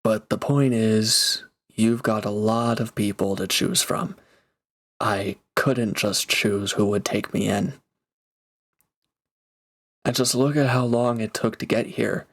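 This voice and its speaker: Man acting low, even-voiced reformed villain mercenary. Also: slow, pauses often. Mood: earnest, defensive. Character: reformed male villain turned mercenary, grateful, awkwardly sincere. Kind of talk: intimate roleplay audio drama monologue.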